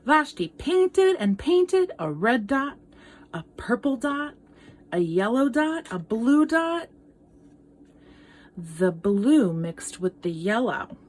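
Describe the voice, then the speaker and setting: A woman art teacher reading a picture book aloud to children, expressively, pausing for asides and questions to young listeners. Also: fairly high pitch, big pitch swings.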